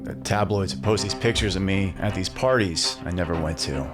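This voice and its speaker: An actor playing a haunted former child star, defensive and insistent, speaking in a halting, earnest way in a dramatic interview. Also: male voice, sits low, over music, close to an everyday voice. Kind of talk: dramatic acted interview, naturalistic.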